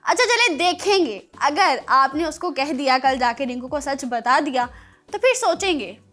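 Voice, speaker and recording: A woman presenting a children's TV show in an expressive, playful, teasing way, speaking directly to her listeners. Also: high voice, normal speed.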